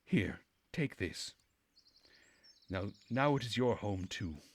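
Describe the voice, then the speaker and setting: A man's voice: lowish voice, animated, slow pace, breathy edge, heavily acted. A man voicing a bossy, earnest woman who leads a summer camp in a visual novel, in a lecturing manner. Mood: brisk. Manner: scolding, lecturing.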